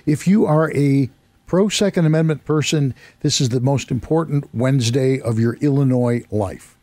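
A man hosting a talk radio show from a studio, his voice hoarse and worn as he loses it. He chats along casually, jokes at himself and rambles between the announcements. The sound is dry and close, with no room around it. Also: medium pitch, normal speed, dark tone, no room sound. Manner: chatty, self-deprecating, rambling. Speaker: radio host. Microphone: studio microphone.

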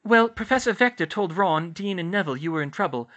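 A woman's voice: fairly low pitch, lively intonation, fast, fairly bright tone, muffled recording, pitched above the performer's own voice. A woman voicing an emotional, devoted teenage girl witch in a storybook. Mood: sheepish.

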